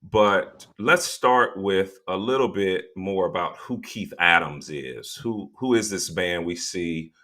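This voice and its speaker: Slow, deep-voiced male show host. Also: lively intonation, pushed and bright. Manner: hesitant, many ums and restarts. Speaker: show host, non-practicing attorney. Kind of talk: interview hosting.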